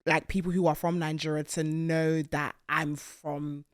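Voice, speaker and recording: A woman's voice: low-pitched, little pitch movement, a little slow. A woman from the UK hosting a casual interview, candid and teasing. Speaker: podcast host.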